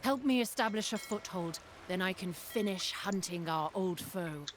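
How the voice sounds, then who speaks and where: A woman's voice: medium pitch, animated, moderate pace, a little hiss or hum, natural voice. A woman voicing a bold, teasing warrior commander in a historical game.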